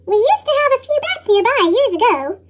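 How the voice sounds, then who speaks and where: A woman voicing a sad, regretful female park ranger from a storybook, with a pitched-up chipmunk effect. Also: very animated, fairly quick.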